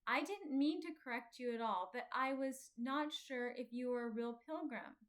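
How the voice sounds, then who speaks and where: A woman's voice: high-pitched, moderate pace, lightly acted. A woman voicing an earnest, trusting little boy in a children's storybook, polite and eager. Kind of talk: children's storybook character reading.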